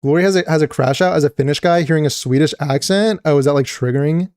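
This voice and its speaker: Excited male streamer reacting. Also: fairly high pitch, quick pace, smooth, dry recording, clean studio sound. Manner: excited, chatty, many restarts. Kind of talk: live reaction commentary.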